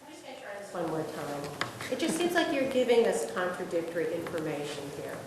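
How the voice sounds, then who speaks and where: A woman's voice: on the low side, very animated, a little fast. A woman reporter challenging an official with follow-up questions at a press briefing. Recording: very echoey room, audience noise.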